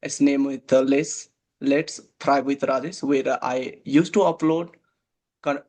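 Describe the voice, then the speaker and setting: A man's voice: medium pitch, steady tone, measured pace, darkish tone. A young man, a guest in a casual talk, speaking hesitantly with many "like" and "uh" fillers. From Nepal. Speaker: guest, waiter. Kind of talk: casual conversation practice.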